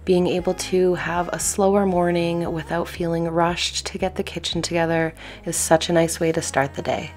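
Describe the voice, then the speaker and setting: A woman in her thirties giving a relaxed, matter-of-fact voice-over for a home vlog. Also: lowish voice, moderate pace, few pauses. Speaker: home cleaning vlogger.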